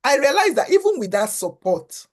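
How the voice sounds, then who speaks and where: Earnest woman guest, halting repeats. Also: high voice, big pitch swings. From Africa. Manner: earnest, repeats words while finding them. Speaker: guest, foundation founder. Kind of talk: conversational interview.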